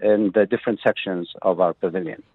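A man with a fairly low voice speaks over a thin, phone-like line. His delivery is halting, packed with fillers and restarts, as he explains things to a radio host. The sound is dry and close. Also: steady tone, dry recording. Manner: hesitant, many fillers and restarts. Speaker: pavilion representative guest. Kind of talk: radio interview.

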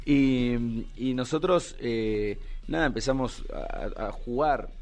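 A man in his thirties from Buenos Aires with a Buenos Aires Argentine accent, a musician answering interview questions in an unhurried way, full of fillers and restarts. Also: mid-range voice. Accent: Argentine Spanish, Buenos Aires. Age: 30s. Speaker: musician guest.

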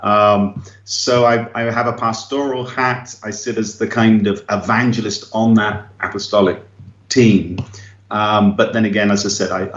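A man with an English accent and a fairly low voice, talking in an earnest, reflective way with frequent small chuckles. The sound is echoey and muffled, as if he is coming through a remote call from a bare room. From England. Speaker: missionary pastor. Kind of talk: remote interview. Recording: roomy, muffled remote line.

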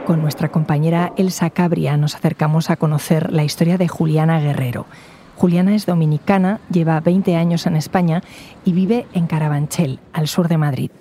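A woman hosting a news podcast, reading a measured, clear script over a music bed. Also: low-pitched, steady tone, mellow, a little hiss or hum.

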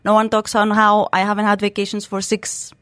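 A woman's voice: medium pitch, monotone, a little fast, no echo. A woman from Crete in her thirties, a guest in a radio studio interview, talking in a chatty way with lots of fillers and restarts. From Greece (Crete). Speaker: guest, startup co-founder.

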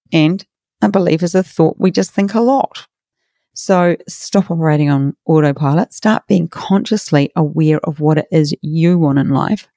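Raspy middle-aged woman giving encouragement. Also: fairly low pitch, dark tone, dry recording, clean studio sound. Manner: earnest, encouraging. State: hoarse from a workshop. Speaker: podcast host and coach. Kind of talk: motivational podcast monologue.

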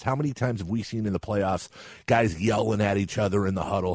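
A man with a bassy voice hosting a casual sports talk interview, chatty, informal and digressive. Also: a little mellow, dry recording, studio quality. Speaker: radio show host, interviewer.

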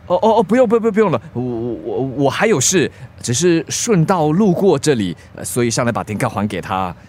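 A man's voice: medium pitch, very animated, fairly quick, a little mellow. A male actor in a radio drama playing a proud, easily flustered young driver with a Singaporean accent, blustering and stammering. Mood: flustered, nervous. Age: young adult.

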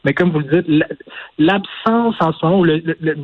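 Quebec French man, husky, fairly high, dry close sound; careful, hedging interview guest. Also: no room sound, narrow, tinny audio. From Portugal. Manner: measured, hedging, many filler restarts. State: recovering from illness. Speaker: interview guest, former political adviser. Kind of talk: phone-in news interview.

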